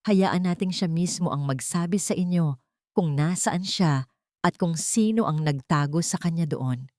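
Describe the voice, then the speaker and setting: A woman voicing a calm, cold, confident businessman in an audio drama, speaking in a controlled, deliberate way. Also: lowish voice, no echo, studio quality, natural voice. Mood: firm, triumphant. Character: calm, cold, confident wronged businessman confronting his rival.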